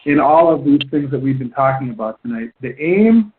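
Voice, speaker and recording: A man hosting a conference call, explaining things in a halting way, often repeating and rephrasing himself. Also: mid-range voice, expressive, slight background noise. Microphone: phone line. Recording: tinny phone line conference call.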